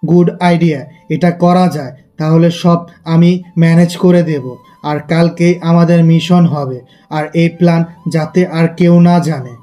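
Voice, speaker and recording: Guy playing a formal boss briefing his team, mellow voice, faint music behind. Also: fairly high pitch, steady tone, unhurried, natural voice. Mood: businesslike. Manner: formal, instructive. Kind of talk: audio story acting.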